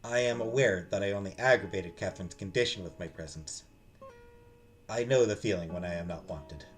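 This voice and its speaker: A man playing a stern but kind grieving man, speaking quietly and earnestly in a visual novel read-aloud. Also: sits low, brisk, soft background music, close to an everyday voice. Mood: hurt restraint.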